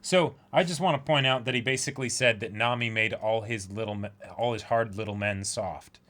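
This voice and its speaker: A man's voice: fairly low pitch, a little fast, a bit bright. A man co-hosting a manga reading stream, chatting casually as he comments. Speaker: co-host of a livestreamed manga dramatic reading.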